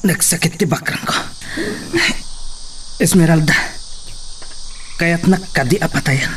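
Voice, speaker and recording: A woman's voice: deep voice, takes it slowly, slight background noise, voice pushed down. A woman doing a hurt, weak man. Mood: pained.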